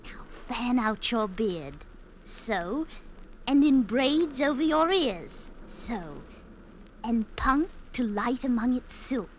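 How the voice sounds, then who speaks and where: A woman's voice: a little high, animated, slow pace. A woman playing an ambitious, bewitching sixteen-year-old bride in a classic radio drama, speaking in archaic, theatrical phrases. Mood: coaxing, commanding. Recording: dull vintage radio recording, faint noise.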